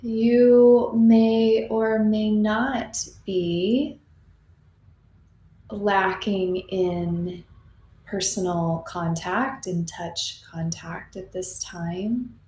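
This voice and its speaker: A woman leading an unscripted guided meditation in a soothing, unhurried manner with long pauses. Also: medium pitch, fairly even intonation, mellow. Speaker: meditation teacher. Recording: roomy echo, faint background noise.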